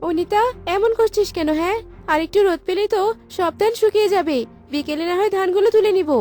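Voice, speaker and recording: Very high-voiced indignant village neighbour. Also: female voice, fast, warm tone. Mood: indignant, pleading. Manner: remonstrating, exclaiming. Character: indignant village neighbour woman, mature, reasoning. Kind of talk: cartoon voice acting. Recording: music behind the voice.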